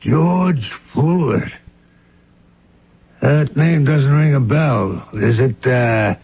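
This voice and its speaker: An actor playing a folksy, cynical police inspector in a radio drama, chummy, slangy and offhand. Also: male voice, mid-range voice, extremely slow, husky, full character voice. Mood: dismissive, cynical. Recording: muffled lo-fi radio drama recording.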